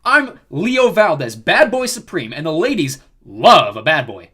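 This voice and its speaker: A man's voice: high voice, big pitch swings, fairly quick, a bit bright, pitched above the performer's own voice. A man voicing a cocky, hyperactive teenage boy in an audiobook, brash and swaggering as he shows off. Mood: boastful, mocking. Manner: swaggering, brash, exaggerated bragging. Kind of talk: audiobook character voice.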